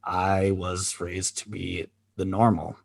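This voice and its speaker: A man co-hosting a podcast, talking candidly in a halting, reflective way with many pauses and restarts. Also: sits low, little pitch movement, gravelly, breathy edge, no room sound. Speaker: podcast co-host. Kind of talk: candid conversational chat.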